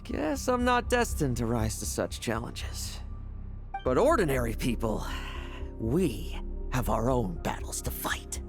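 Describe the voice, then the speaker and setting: An actor playing a cocky young knight vice captain, teasing and theatrical, in an anime-style game. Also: male voice, medium pitch, sing-song, measured pace. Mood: brooding. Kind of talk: dramatic anime game voice acting. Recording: game audio with music bed.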